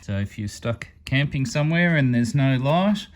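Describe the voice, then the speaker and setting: A man's voice: medium pitch, expressive, moderate pace. A man casually demonstrating a gadget hands-on, explaining it step by step in a matter-of-fact way. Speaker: amateur radio reviewer and channel host.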